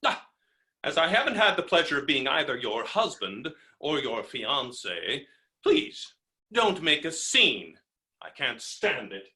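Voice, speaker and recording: An actor plays a belligerent, boorish gentleman in a theatrical farce. His voice is sharp and forceful, with very animated pitch. He argues pompously and combatively. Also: unhurried, exaggerated voice. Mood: disdainful. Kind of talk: theatrical staged reading of a classic farce. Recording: home recording over video call.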